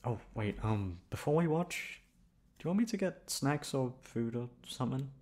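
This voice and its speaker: A young man acting a shy, flustered, sweet-natured male classmate in an ASMR roleplay, speaking in a halting, stammering way. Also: mid-range voice, big pitch swings, a little mellow, natural voice. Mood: relaxed, shy. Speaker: voice actor. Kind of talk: ASMR roleplay acting, one-sided dialogue.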